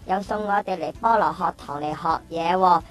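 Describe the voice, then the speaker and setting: A woman's voice: on the low side, moderate pace, faint music behind, natural voice. A woman playing a talkative, well-meaning young schoolchild in a radio drama. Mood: admiring. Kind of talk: childlike radio drama acting.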